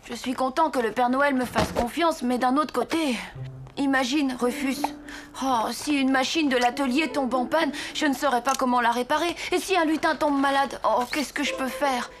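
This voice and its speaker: A woman voicing an earnest, kind and rather anxious young boy, an apprentice Santa in an animated cartoon. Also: sits high, normal speed, background noise, lightly acted. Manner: earnest, expressive. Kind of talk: animated cartoon voice acting in French. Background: loud background music.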